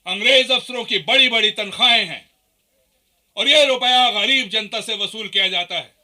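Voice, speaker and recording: Radio drama revolutionary: very high-pitched, piercingly bright, fervent and declamatory. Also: male voice, normal speed. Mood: rousing, impassioned. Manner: declamatory, impassioned oratory. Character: fervent Indian freedom fighter, a male revolutionary leader. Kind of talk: radio play acting.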